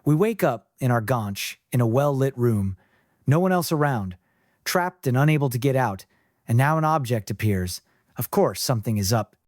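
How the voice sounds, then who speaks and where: Guy doing a young brother in an audiobook, wary and ribbing, casual, pitch jumps around. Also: expressive, moderate pace, darkish tone, no room sound, studio quality, lightly acted. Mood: teasing, wary. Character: young twin brothers, male, wary, teasing game contestants. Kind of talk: acted audiobook dialogue.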